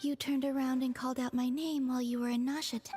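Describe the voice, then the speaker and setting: A woman voicing a gentle, dreamy young moon maiden in a video game, with soft, breathy, near-whispered delivery. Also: sits high, little pitch movement, fast, lightly acted. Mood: warm, musing. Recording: music behind the voice.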